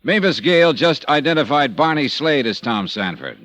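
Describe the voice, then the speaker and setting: An American actor playing a brisk, probing insurance investigator in 1950s radio style, with clipped, hard-boiled delivery. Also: male voice, on the high side, sing-song, a bit bright, no room sound. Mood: brisk, businesslike. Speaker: lead actor in detective radio serial. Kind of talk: 1950s radio acting and first-person narration. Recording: vintage radio recording with music.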